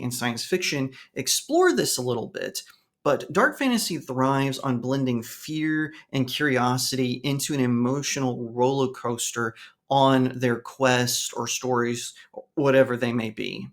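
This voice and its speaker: A man hosting a video essay, reading scripted narration in a conversational way that speaks straight to the listener. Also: mid-range voice. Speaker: host of a fantasy lore channel.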